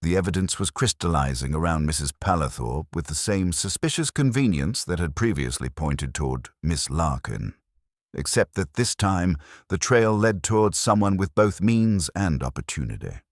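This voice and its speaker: Very deep, husky male narrator. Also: lively intonation, dry recording, clean studio sound. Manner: measured, formal storytelling. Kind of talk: scripted mystery audiobook narration.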